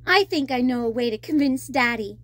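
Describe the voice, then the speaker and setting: A woman's voice: high voice, animated, a little fast, fairly bright tone. A woman voicing a young mermaid princess in a storybook, plucky, kind and coaxing. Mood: optimistic.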